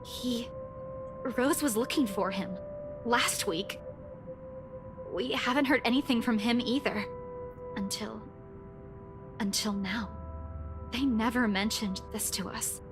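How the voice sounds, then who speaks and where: A young real estate office worker in a visual novel. Her voice is fairly high, earnest and anxious. Music plays clearly behind her. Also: slow, long pauses. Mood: uneasy.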